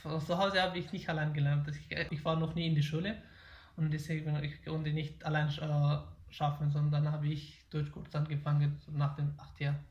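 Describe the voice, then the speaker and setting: A man's voice: a little high, flat delivery, normal speed, roomy sound, dull audio. Hesitant young Afghan man, non-native German. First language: Pashto. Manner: hesitant, frequent fillers and restarts. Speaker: interviewee, German learner. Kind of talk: interview about learning German.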